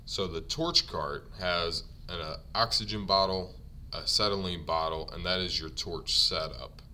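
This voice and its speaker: A man who is a native English speaker teaches ironworker trade vocabulary from slides, saying each term several times slowly for learners before explaining it. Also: sits low, pushed and bright, a little hiss or hum. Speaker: ironworker union instructor. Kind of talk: slide-based vocabulary lesson.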